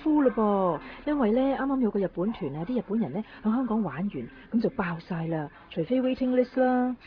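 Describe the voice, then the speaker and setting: A woman acting a brisk, chatty airline ticket clerk in a radio drama. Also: mid-range voice, expressive, lightly acted. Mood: businesslike, chatty. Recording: dull radio recording, faint noise.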